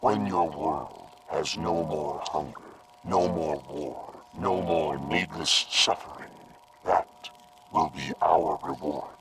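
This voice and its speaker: A radio-drama alien dignitary with a remarkably low voice. He is solemn, courteous and measured, speaking formally like a philosopher-diplomat. Clear background noise sits behind him. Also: heavily acted. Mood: solemn, lofty. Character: tall, courteous, solemn male alien envoy. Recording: clearly noisy, with ambience behind.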